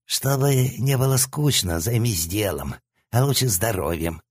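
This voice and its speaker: A man's voice: lowish voice, lively intonation, measured pace, slightly rough, no echo, clean studio sound, voice pushed up. A man voicing the preachy, moralizing right head of a three-headed hellhound. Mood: lecturing. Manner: moralizing, lecturing.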